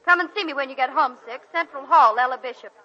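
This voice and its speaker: An actress playing an earnest, warm and brisk woman college teacher in a 1940s radio drama. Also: high voice. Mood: brisk, scolding. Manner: earnest, crisp, motherly. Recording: muffled old 1940s radio broadcast.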